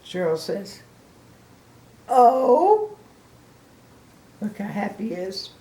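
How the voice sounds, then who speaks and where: A woman's voice: medium pitch, expressive, slow, slight background noise. An older woman doing a children's read-aloud as a grandmother, with exaggerated emphasis and asides addressed straight to the listeners. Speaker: grandmother storybook reader. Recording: echoey room.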